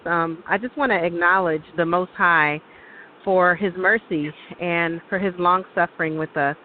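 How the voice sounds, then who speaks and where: Low-pitched woman on a hissy phone line. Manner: reverent, grateful. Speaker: religious show co-host. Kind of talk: devotional talk and testimony.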